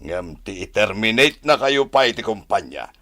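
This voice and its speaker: An actor playing a stern, reproving company boss with an Ilocano accent in a radio drama. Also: male voice, lowish voice, big pitch swings, moderate pace, bright, forceful tone, dry recording, clean studio sound.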